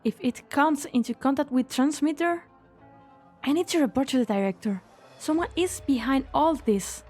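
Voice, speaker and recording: A woman playing an earnest, anxious young lab researcher with a hesitant, expressive delivery in an English fan dub. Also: high-pitched, moderate pace, soft background music, close to an everyday voice. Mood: urgent.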